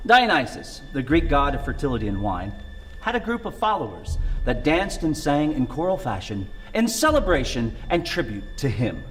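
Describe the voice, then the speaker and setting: A man's voice: medium pitch, big pitch swings, normal speed, a bit bright, soft background music, rough recording. A man with a Midwestern American accent co-hosting a theater tips show, delivering scripted lines with theatrical emphasis. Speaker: co-host.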